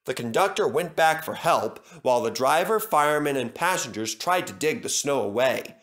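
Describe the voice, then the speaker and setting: A man's voice: mid-range voice, animated, normal speed, a bit bright. A man reading a children's story aloud as a scripted narration.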